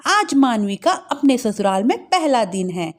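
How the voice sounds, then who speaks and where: A woman's voice: a little high, sing-song, a little slow. A woman storyteller giving scripted narration of a family moral tale.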